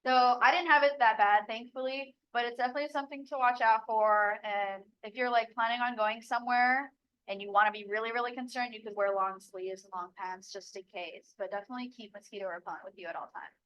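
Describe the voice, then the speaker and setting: A young woman from Bali chats through practical travel tips, her voice sitting a little high. She leans on fillers and loose restarts, and the recording is dull and lo-fi. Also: fairly even intonation, fairly quick. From Bali, Indonesia. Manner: chatty, many "like" fillers, laughs easily. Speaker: local guide at orientation. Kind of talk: casual advice chat.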